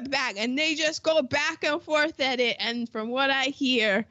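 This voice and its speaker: A woman with a fairly high, bright voice that swoops all over as she chats, giggling often and hopping from tangent to tangent. She talks at an unhurried pace, and the recording sounds a little dull and lo-fi. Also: lively intonation, dry recording. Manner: bubbly, giggly, jumps between tangents. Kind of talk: casual chat.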